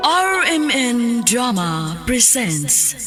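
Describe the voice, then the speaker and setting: A woman announcer reading a radio drama title with slow, drawn-out phrasing. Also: a little high, big pitch swings. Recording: loud music under the voice.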